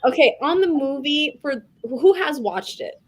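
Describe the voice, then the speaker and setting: A woman with a fairly high voice chats informally about books. She puts questions to the group as a co-host. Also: expressive, unhurried, a little hiss or hum. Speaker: readalong co-host. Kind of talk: casual discussion, posing questions. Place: online group call.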